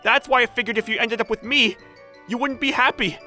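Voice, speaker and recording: A man's voice: very high voice, brisk, sharp tone, faint music behind, full character voice. A man playing an earnest, easily flustered young factory owner in love, in a manga dub. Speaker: voice actor, lead and narrator. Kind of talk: dramatized manga dub with inner monologue.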